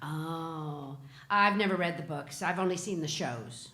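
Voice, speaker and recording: A woman's voice: low voice, a little slow, bright, forceful tone. A woman playing a patient, dry-humoured character in a live cartoon table read, mildly teasing. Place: theatre stage before an audience. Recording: echoey, noisy stage microphone.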